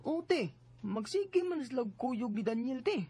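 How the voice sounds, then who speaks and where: A woman acting a husky, high-pitched teenage boy. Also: very animated, measured pace, dark tone, full character voice. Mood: gossipy, coy. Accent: Cebuano Filipino. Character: gossipy teenage boy classmate. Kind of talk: Bisaya radio drama acting.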